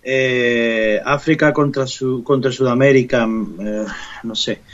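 A man's voice: medium pitch, fairly even intonation, slow. Talkative man on a muffled radio line. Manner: talkative, rambling, with many restarts and self-corrections. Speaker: panel guest. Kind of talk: casual radio panel chat.

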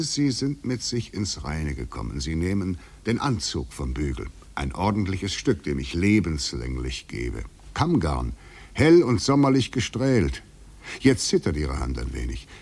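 A man's voice: deep voice, vocal fry, darkish tone, breathy edge, heavily acted. An actor in a 1950s literary radio drama playing a taunting, eloquent male fugitive in a poetic, ironic manner. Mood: wry, insistent.

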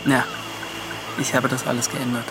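A male actor as an earnest, proud yet wistful adventurer in a radio drama. His mid-range voice is thoughtful and slightly hesitant, in standard German. Music swells loudly behind the dialogue. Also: mid-range voice, little pitch movement, normal speed, lightly acted, low-quality recording.

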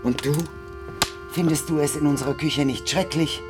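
An actress playing an outspoken but hospitable girlfriend in a German radio drama, with a brisk, direct manner. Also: sits low, fairly even intonation, music in the background, close to an everyday voice. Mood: exasperated.

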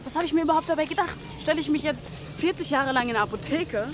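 A woman's voice: high voice, fast, close to an everyday voice. An actress in her twenties playing a frustrated, disillusioned young graduate in naturalistic radio drama, arguing and questioning. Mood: bitter, agitated. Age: 20s. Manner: argumentative, questioning.

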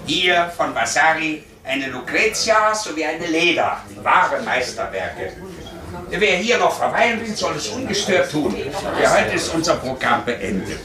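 High-pitched officious tour guide. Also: male voice, very animated, measured pace. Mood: officious, jovial. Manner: declamatory, recited, formal. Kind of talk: radio play acting, guided tour patter. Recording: echoey, distant, loud crowd behind.

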